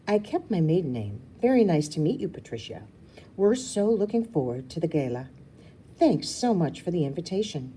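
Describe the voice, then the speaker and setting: Gracious storybook mom, read by a woman, polite and a little flustered, mellow with lively lilt. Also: on the low side, normal speed, breathy edge. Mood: polite, pleased.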